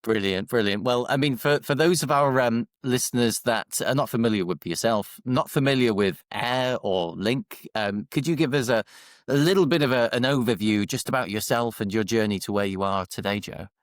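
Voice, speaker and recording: Male podcast host, losing his voice, halting delivery, dry sound. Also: mid-range voice, no echo. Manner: hesitant, many fillers and restarts. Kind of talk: hosted video-call interview.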